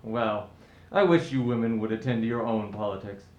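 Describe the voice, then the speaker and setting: A man's voice: fairly low pitch, steady tone, normal speed, highs cut off, natural voice. A breezy upper-class husband, acted by a man for a radio play. He is self-absorbed and blustering in an offhand way. The recording is echoey and roomy, with faint noise behind it. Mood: dismissive. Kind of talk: scripted radio play acting.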